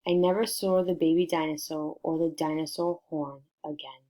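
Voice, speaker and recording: A woman reading a picture book aloud to children in an expressive way, prompting her listeners with questions. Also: low-pitched, moderate pace, a little hiss or hum. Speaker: storybook reader for children.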